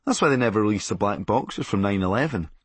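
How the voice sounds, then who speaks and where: A Scottish man reads a comic memoir in a fairly low, husky voice. His delivery is sardonic and blunt, with pitch that swings widely for dark comic effect. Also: lively intonation, fast, dry recording. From Scotland. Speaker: comedian narrating a memoir audiobook. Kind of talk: scripted comic memoir narration.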